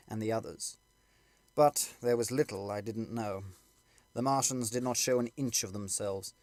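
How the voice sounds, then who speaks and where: A man's voice: fairly low pitch, no echo. A man reading a literary story aloud as scripted audiobook narration.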